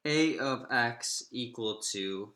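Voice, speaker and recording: A man giving an informal math lecture, thinking aloud in a halting way with drawn-out pauses. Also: medium pitch, sharp tone. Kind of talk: informal lecture, working through a derivation aloud. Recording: roomy, echoing room sound.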